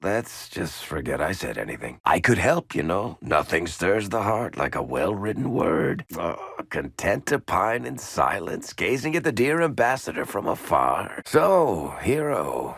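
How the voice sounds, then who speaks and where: An actor playing a roguish dwarf storyteller in a video game, with a casual, sardonic manner and dry, teasing wit. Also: male voice, deep voice, big pitch swings, measured pace, vocal fry, hardly any pauses, fairly bright tone, slightly rough, no echo, exaggerated voice. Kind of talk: video game character dialogue.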